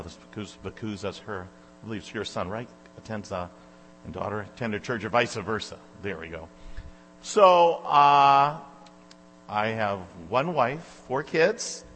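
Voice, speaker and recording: Brief: fortyish Michigan man, guest preacher, loud and rambling, a bit low in pitch, caught on a church mic with a long echo. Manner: loud, chatty, rambling with many fillers. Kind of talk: informal sermon introduction. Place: church sanctuary. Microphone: handheld or stand microphone. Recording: echoey church hall with mains hum.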